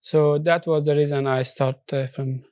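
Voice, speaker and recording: A man from Afghanistan whose first language is Persian, speaking in a studio interview with earnest, halting English full of restarts. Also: on the high side, level tone, a little mellow, smooth, no echo. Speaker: social enterprise founder.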